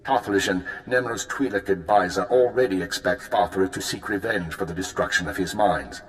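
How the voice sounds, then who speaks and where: An actor playing a calm spymaster handler briefing an agent in a video game, with a measured, controlled delivery. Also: male voice, low-pitched, close to an everyday voice. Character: calm male spymaster handler giving orders. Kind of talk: video game cut-scene acting. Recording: roomy echo.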